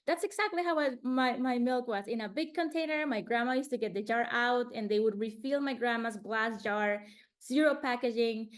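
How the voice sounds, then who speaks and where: A woman's voice: fairly high pitch, moderate pace. A woman from Colombia, presenting slides in a webinar in an earnest way, often restarting words over a video call.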